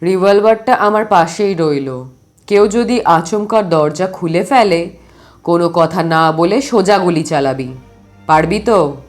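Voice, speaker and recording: Woman as older uncle, commanding, calm, watchful, unhurried, adventure audio story, faint music behind. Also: on the low side, pitched below the performer's own voice, lightly acted. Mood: stern. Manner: firm, instructing.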